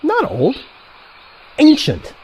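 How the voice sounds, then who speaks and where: A man's voice: very high-pitched, huge pitch swings, extremely slow, a little mellow, slight background noise, full character voice. A man acting as a teasing, grumbly friend in an audio play, with a wry, needling manner. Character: teasing, grumbly male friend of an old assassin.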